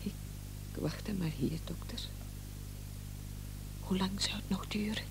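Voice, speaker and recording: An actress in a radio drama playing the distraught, reproachful wife of a gravely ill man. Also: medium pitch, unhurried. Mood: subdued. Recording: old radio play, faint noise and hum.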